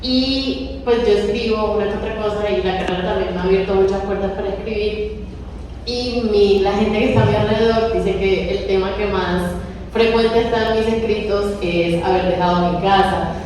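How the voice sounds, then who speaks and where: A woman's voice: medium pitch, fairly even intonation, moderate pace, a little mellow. An eager young woman asks a personal question from the audience, apologizing with little laughs. She sounds distant, in a large echoing hall with faint noise behind. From Colombian Caribbean coast. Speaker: audience member asking a question. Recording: echoey hall, mic from far off.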